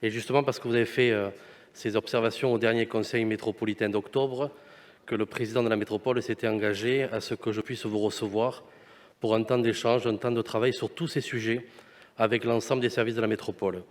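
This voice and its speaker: A man speaking as a councillor in a formal meeting, replying in a measured, conciliatory and somewhat hesitant way. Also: lowish voice, steady tone. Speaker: elected metropolitan councillor responding.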